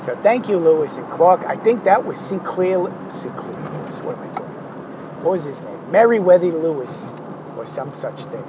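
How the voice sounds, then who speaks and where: A man with a hoarse, failing voice talking through an art lesson, digressive and hesitant with many fillers and sardonic asides. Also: a little high, animated, moderate pace, dull audio, noisy background. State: hoarse, losing his voice. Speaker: art instructor. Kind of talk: rambling spoken art lesson.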